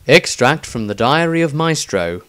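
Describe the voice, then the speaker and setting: A man's voice: medium pitch, animated, normal speed, fairly bright tone. A man narrating a fantasy audiobook from a script, announcing titles and diary headings. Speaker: author and narrator.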